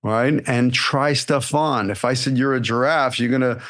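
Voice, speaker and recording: A man, an acting coach, giving casual solo podcast advice in a blunt, animated way, firing rhetorical questions at the listener. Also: mid-range voice, normal speed. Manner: casual and blunt, with repeated fillers and restarts.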